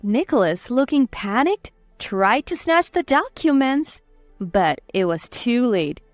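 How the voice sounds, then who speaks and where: A woman's voice: on the high side, very animated, slow, no room sound, soft background music. A woman reading scripted dramatic story narration.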